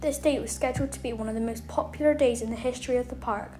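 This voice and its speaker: A woman's voice: on the high side, steady tone, fast talker, warm tone. A woman reading a scripted documentary narration as the narrator of a video. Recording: roomy echo, faint background noise.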